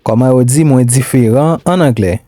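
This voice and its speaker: A man reading questions for a language lesson in a steady, repeating pattern. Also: mid-range voice, brisk, dry recording, clean studio sound. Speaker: language teacher.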